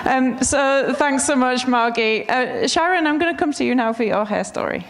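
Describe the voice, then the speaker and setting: A woman's voice: high voice, moderate pace. A woman in her thirties with an Australian accent, moderating a panel with thoughtful, hesitant questions. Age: 30s.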